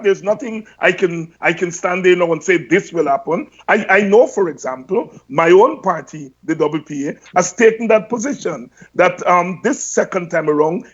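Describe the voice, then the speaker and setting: A man speaking as a guest on an online panel discussion, measured and thoughtful, often pausing with "um". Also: sits high. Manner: measured, thoughtful, many ums. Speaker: panel guest, party member. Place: home room, indoors. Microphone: video call. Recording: dull lo-fi video call audio.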